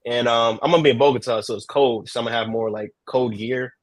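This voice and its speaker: A man's voice: medium pitch, fairly even intonation, fast, no echo. A man guest giving travel safety advice in a casual chat, earnest, repeating himself and chuckling at his own stories.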